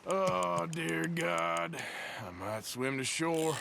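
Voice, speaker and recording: An actor playing a gruff, world-weary American outlaw in a western game, talking in a dry, laconic, folksy manner. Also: male voice, on the high side, big pitch swings, drawn-out words, full character voice. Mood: dry grumbling. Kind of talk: cinematic western game acting. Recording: very loud watery boat noise behind. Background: water and boat sounds.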